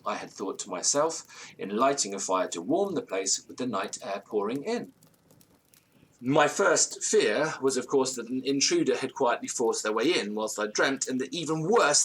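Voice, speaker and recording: An actor playing an anxious, genteel British gentleman who recounts a ghost story in formal, ornate, theatrical phrases. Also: mid-range voice, animated, normal speed, breathy edge, rough recording. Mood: uneasy. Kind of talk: audio drama storytelling, period ghost tale.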